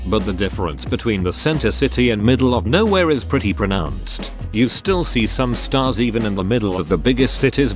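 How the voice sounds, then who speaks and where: A man reads forum stories aloud in a low voice that still rises and falls with plenty of movement. His delivery is steady and scripted, moving from one first-person post to the next. Soft music plays faintly underneath. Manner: steady read-aloud delivery, switching between many first-person posts. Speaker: narrator reading online forum posts. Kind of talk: scripted narration. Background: faint background music.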